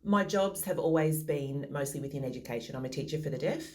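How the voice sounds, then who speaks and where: A woman's voice: sits low, quick pace, a little mellow. A modest, thoughtful woman answering interview questions over a video call. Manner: modest, thoughtful, some restarts.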